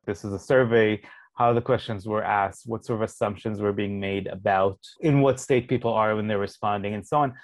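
A man, a Hebrew speaker, answering interview questions as a sociologist in a measured, explanatory way, thinking aloud as he goes. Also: lowish voice, no room sound. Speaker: sociologist guest.

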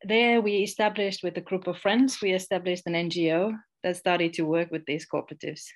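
A middle-aged woman from Finland, a guest speaking casually in an interview, pausing and restarting often. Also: lowish voice, fairly even intonation. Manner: halting, restarts often, many fillers. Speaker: guest, social enterprise founder.

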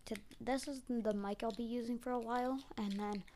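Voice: mid-range voice, steady tone, brisk, dark tone, a little hiss or hum. A youngster who sounds like a child or young teen, rambling casually and a bit hesitantly as host of an amateur solo podcast. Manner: hesitant, self-deprecating. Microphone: amateur mic, trying blanket as sound booth.

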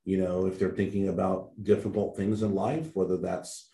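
A man from a youth center answering interview questions in an earnest, steady way. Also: sits low, normal speed, gravelly. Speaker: teen center staff guest. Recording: roomy echo.

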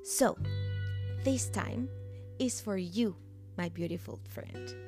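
A woman hosting a podcast, gently and earnestly narrating the opening. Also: medium pitch, takes it slowly. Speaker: podcast host. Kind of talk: scripted podcast intro narration. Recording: intro spoken over guitar music. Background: guitar music.

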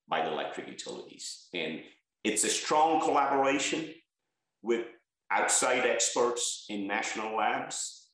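Man from Bangladesh, research leader, earnest and listing, lowish voice. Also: fairly bright tone, very echoey, rough recording. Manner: earnest, stresses key words, lists points. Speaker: head of energy research, interview guest. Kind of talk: interview answers.

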